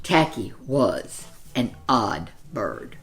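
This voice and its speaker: A woman reading a children's picture book aloud as a storyteller, with expressive, deliberate, dramatic phrasing. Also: very deep voice, faint music behind.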